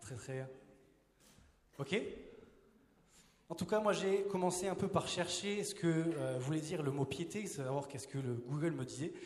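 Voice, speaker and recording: A man's voice: fairly high pitch, moderate pace, long pauses. A man in his twenties, a youth preacher, giving a casual, joking sermon on a handheld mic and asking the crowd questions. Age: 20s. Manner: playful, chatty, asks the crowd questions. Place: large hall with a live audience. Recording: roomy hall reverb.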